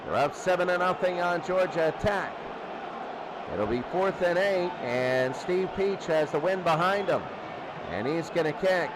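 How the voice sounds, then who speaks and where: A man's voice: high voice, animated, a little slow. A man calling football play-by-play for radio in short, clipped phrases. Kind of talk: sports radio commentary. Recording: noisy radio broadcast with crowd din. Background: stadium crowd noise.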